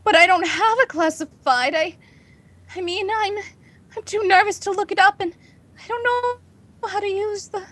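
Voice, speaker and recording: An actress playing a nervous, imperious housewife on edge, in a melodramatic 1940s radio drama style. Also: female voice, very high-pitched, moderate pace, long pauses, fairly bright tone, no echo. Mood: desperate, panicked. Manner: haughty, melodramatic, agitated. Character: nervous, imperious, panicking housewife alone at night.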